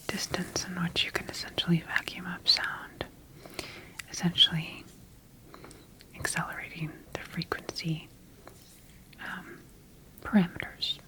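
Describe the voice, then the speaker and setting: Female scientist played by a woman for ASMR roleplay: hushed whisper, lowish pitch, calm and procedural. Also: rough recording. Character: calm, clinical female scientist tending her bioengineered creation. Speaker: ASMR performer.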